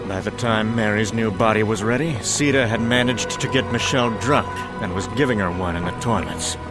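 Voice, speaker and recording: Low-pitched man narrating over music. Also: brisk. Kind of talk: dramatic scripted narration of a comic.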